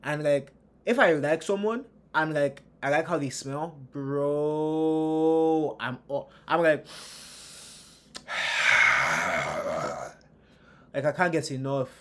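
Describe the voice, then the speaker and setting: A man reacting to a video in casual, slangy, animated commentary. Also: fairly high pitch, drawn-out words, pauses often. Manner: animated, slangy, jokey asides.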